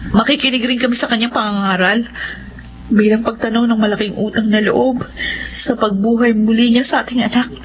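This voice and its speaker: A woman's voice: a little high, steady tone, brisk, lo-fi sound, a little hiss or hum, close to an everyday voice. A woman with a Filipino accent playing a stubborn, devout wife and mother, speaking emphatically in radio drama. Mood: insistent, defiant. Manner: emphatic, insistent.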